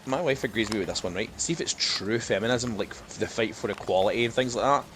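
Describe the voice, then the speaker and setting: A man's voice: fairly low pitch, quick pace, fairly bright tone. Rambling, jokey man in noisy audio. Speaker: podcast co-host. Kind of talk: casual, sweary banter.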